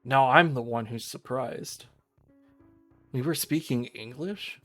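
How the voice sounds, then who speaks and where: A man's voice: mid-range voice, takes it slowly, a bit bright, soft background music. A man voicing a puzzled, self-deprecating young woman who works in a coffee shop, in a visual novel. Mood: baffled.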